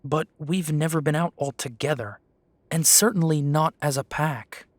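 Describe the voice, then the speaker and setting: A man's voice: fairly high pitch, normal speed, no room sound, lightly acted. An actor playing a defiant young male werewolf who answers the council with dry, mocking politeness. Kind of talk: audio drama acting.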